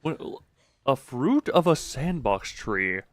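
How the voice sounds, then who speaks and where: A man's voice: mid-range voice, very animated, takes it slowly, no room sound. A man giving casual live video game commentary, thinking aloud in a rambling, self-interrupting way with playful, self-deprecating wordplay.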